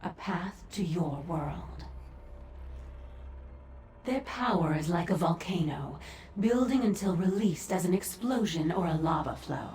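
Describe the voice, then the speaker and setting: An actress voicing a cold, composed otherworldly sorceress in a video game, speaking in a formal, measured, haughty way. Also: female voice, fairly low pitch, expressive, a little mellow, a little hiss or hum. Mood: composed, imperious. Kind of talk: video game character dialogue. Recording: echoing voice over background music.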